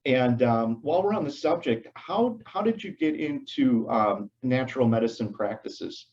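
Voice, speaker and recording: A man's voice: mid-range voice, animated, a little mellow. Friendly male host, slightly dull sound. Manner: friendly, halting with fillers. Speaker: interview host. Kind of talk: interview questions.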